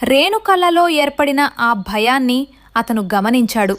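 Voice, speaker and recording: High-pitched woman narrating a story. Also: animated, moderate pace, a bit bright.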